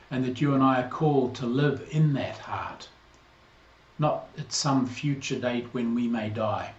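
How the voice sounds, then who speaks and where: Male, 60s, New Zealand accent, parish priest; measured, earnest welcome; mid pitch, roomy slightly muffled recording. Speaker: priest, incoming interim rector.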